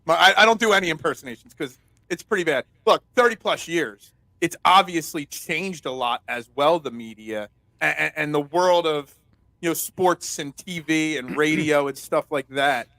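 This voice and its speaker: A man hosting a sports talk show, loud and chatty, telling rambling stories. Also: on the high side, moderate pace, no room sound, low-quality recording. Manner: chatty, rambling storyteller with many restarts. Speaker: host. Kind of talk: casual sports talk interview.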